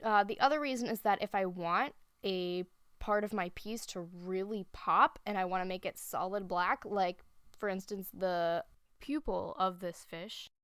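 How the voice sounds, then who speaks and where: A woman giving a relaxed voice-over, explaining her painting steps as she thinks aloud. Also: medium pitch, measured pace, smooth, dry recording, clean studio sound. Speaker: art instructor. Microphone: voice-over recorded separately.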